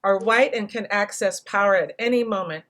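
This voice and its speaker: A woman hosting a panel discussion, speaking in a reflective, deliberate way and carefully spelling out what she means. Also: mid-range voice, fairly bright tone. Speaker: panel host and moderator.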